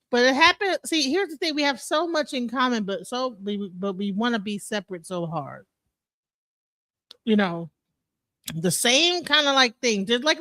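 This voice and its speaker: A woman's voice: a little high, sharp tone, no echo. Chatty woman reacting to a clip. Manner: chatty, laughs between remarks. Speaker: reaction video host. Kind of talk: casual reaction commentary.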